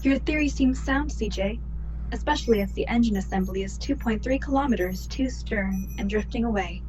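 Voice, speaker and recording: A woman playing a maternal, formal starship artificial intelligence in a sci-fi radio play, speaking in precise, measured sentences. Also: fairly high pitch, a little mellow, a little hiss or hum, lightly acted, rough recording. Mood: calm, precise. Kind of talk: science fiction radio play acting.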